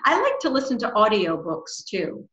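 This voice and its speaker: A woman's voice: medium pitch, sing-song, moderate pace, fairly bright tone, dull audio. A middle-aged woman hosting a casual interview over a video call. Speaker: interview host. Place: small room, indoors. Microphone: video call, computer microphone. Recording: echoey room on a video call.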